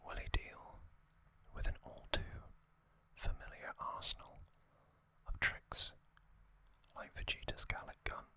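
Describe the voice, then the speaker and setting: An ASMR host narrates in a breathy whisper with a very bright, airy edge. The delivery is very slow, broken into short soft phrases with long pauses between them. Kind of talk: whispered ASMR narration recapping an anime series.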